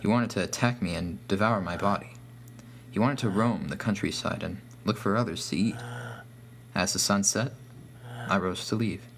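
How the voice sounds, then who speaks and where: A man with a deep, low voice narrates a scripted horror tale as a storyteller. A faint hum sits under the recording. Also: moderate pace, fairly bright tone.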